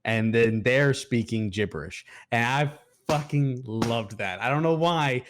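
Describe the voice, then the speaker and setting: A man with a mid-pitched voice chats about film as a review co-host. His delivery is casual and rambling, full of halting restarts. He swears freely as he goes. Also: background noise.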